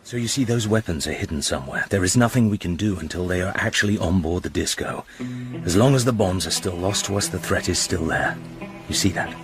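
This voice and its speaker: An actor playing a serious, controlled secret agent with a British accent in a radio drama. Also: male voice, low voice, a little fast, soft background music, close to an everyday voice. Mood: grave, earnest.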